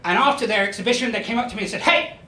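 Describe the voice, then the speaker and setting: A young man in his twenties with a West Country accent doing a self-deprecating stand-up comedy set with deadpan punchlines. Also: sits high, rapid-fire, a little hiss or hum. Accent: West Country English. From Ireland, raised in Spain. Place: comedy club stage. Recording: echoey club with crowd laughter. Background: audience laughing.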